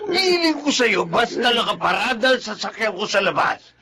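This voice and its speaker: An actor playing an abusive, short-tempered uncle in a radio drama, barking threats and scolding. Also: male voice, sits high, big pitch swings, moderate pace, crowd noise. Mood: angry, threatening. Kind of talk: Filipino radio drama acting.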